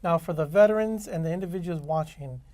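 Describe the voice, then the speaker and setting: A man's voice: a little high, quick pace, a little mellow, dry recording. A man hosting an interview, earnest, with short affirmations. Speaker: host.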